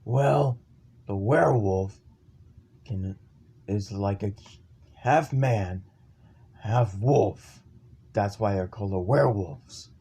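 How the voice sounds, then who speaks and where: A man improvising an amateur campfire horror story in a halting, ominous manner. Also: lowish voice, warm tone, husky. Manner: halting, ominous, stumbling over phrases.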